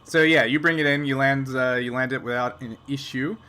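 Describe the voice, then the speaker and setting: A man narrating an improvised sci-fi tabletop game as game master, conversational and pausing to find his words. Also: mid-range voice, steady tone, sharp tone.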